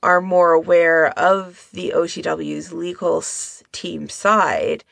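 Creaky-voiced woman chatting as co-host. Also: on the low side, slow pace, a bit bright, no echo, studio quality. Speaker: podcast co-host. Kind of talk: casual discussion.

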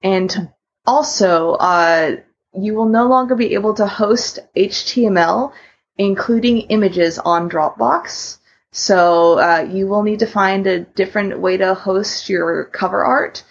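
A woman co-hosting a podcast, giving announcements and chatting casually in a husky voice. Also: mid-range voice, measured pace, dull audio. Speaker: podcast host.

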